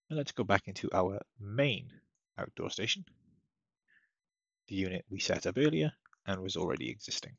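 A man giving a casual step-by-step software tutorial as a technical support presenter, recorded as a voice-over at his computer. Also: fairly low pitch, creaky, slightly rough, dry recording. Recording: dull lo-fi close dry microphone.

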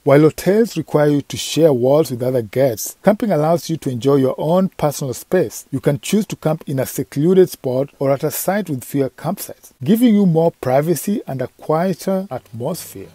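A man with a husky voice reading a scripted voice-over for a travel vlog. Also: fairly high pitch, expressive, moderate pace, hardly any pauses, warm tone. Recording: dry voice-over over music.

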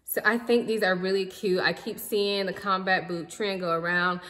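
A woman's voice: medium pitch, moderate pace, a little hiss or hum. Chatty woman in a reverberant living room. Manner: chatty, relaxed, addresses viewers as y'all. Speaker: vlogger. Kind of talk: casual haul vlog chat. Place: living room at home, indoors. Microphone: camera in front, seated.

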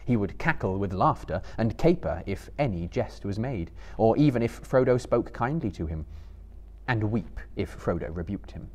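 A man in his thirties giving a measured, theatrical literary narration as a live dramatic reader. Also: on the low side, animated, darkish tone. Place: seated indoors on a book-lined stage before a live audience.

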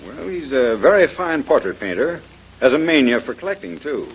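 An actor playing a fussy, opinionated museum doctor in a 1930s radio mystery, confiding and a little hesitant, with a husky voice. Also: male voice, mid-range voice, big pitch swings, moderate pace, slight background noise, poor audio.

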